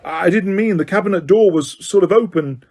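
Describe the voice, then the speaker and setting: A man's voice: high voice, moderate pace, darkish tone, voice pushed up. Man playing a flustered teen boy, breathless, apologetic. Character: flustered teenage boy wizard.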